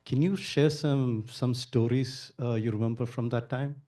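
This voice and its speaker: A man's mellow, relaxed voice carries his questions over a video call. He is polite and a little halting, with frequent fillers as he works toward each question. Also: medium pitch. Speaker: festival moderator. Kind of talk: interview questions.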